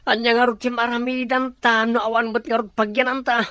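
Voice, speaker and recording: A man's voice: very high-pitched, level tone, a little fast, bright, forceful tone, clean tone, dry recording. A man with a Filipino accent playing an agitated, selfish lover in a radio drama, hasty and whining. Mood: exasperated.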